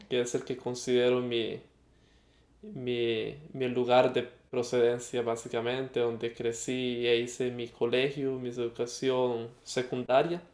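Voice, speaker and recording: A man's voice: medium pitch, a little mellow, roomy sound. A young Costa Rican man with a Costa Rican accent, telling his story as an interview guest, reflective and hesitant with fillers. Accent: Costa Rican Spanish. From Costa Rica. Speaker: guest musician.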